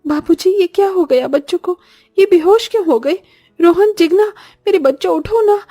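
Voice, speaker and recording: A woman's voice: extremely high pitch, normal speed, warm tone, no echo, studio quality, faint music behind, pitched above the performer's own voice. A woman playing a distraught village mother with an anxious, imploring manner in a cartoon story.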